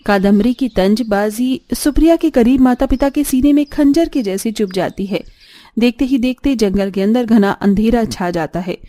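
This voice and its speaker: A woman's voice: on the high side, moderate pace, mellow, no room sound. A woman giving dramatic scripted narration as the storyteller of a tale.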